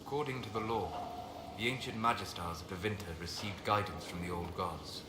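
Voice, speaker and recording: An actor playing a calm, reserved elven mage advisor in a video game, speaking in measured, formal phrases. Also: male voice, low voice, close to an everyday voice. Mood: grave, analytical. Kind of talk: video game dialogue acting. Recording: roomy echo, clear background noise.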